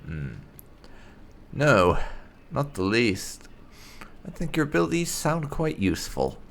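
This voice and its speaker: A man plays through a fantasy game, speaking his character's lines and commenting as he goes. His delivery is slow and deliberate, with plenty of creak and very wide swings in pitch. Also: wildly swooping pitch, a little hiss or hum. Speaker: gaming channel host, player. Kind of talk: roleplay game commentary, reading dialogue choices in character.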